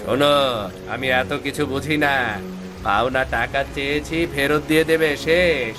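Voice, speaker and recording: A man's voice: mid-range voice, moderate pace, close to an everyday voice. A man playing a greedy, scheming, bullying moneylender in a cartoon, with a mocking, gloating manner. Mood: mocking, threatening. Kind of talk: Bengali cartoon voice acting. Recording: music behind the voice.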